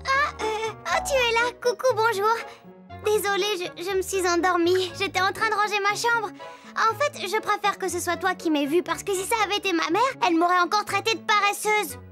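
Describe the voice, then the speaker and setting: A woman voicing a chatty, playful little girl who tells stories in a children's cartoon, in a confiding, expressive way. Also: very high-pitched, brisk, over music.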